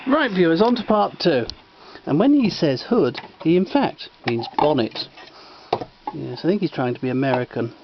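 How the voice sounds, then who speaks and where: Higher-pitched man chatting, muffled sound. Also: sing-song, normal speed. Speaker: presenter playing an old record. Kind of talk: casual humorous commentary. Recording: dull sound with faint noise.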